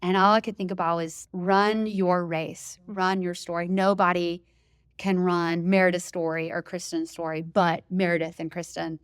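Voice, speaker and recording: A woman who hosts a running podcast, talking as an interview guest in a loud, emphatic, enthusiastic way and laughing easily. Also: on the low side, level tone, moderate pace, clean tone, no room sound, studio quality. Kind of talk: casual interview chat.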